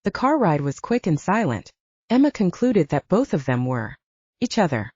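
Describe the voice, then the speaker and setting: Expressive woman narrator, mellow and husky. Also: on the low side, moderate pace, dry recording, dull audio. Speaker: audiobook narrator. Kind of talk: scripted fiction narration.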